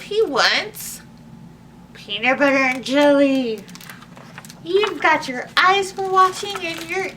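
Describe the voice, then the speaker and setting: Children's storyteller, female: high lively voice, expressive read-aloud with asides, slightly distant mic. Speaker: librarian hosting children's story time. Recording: distant mic with faint background noise.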